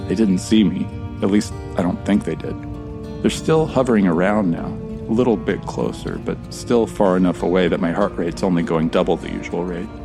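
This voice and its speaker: An earnest American man lost in time, played by an actor. He speaks in a low, conversational voice, as in a first-person audio drama, with clear music under him. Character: stranded American male time traveler, friendly and uneasy.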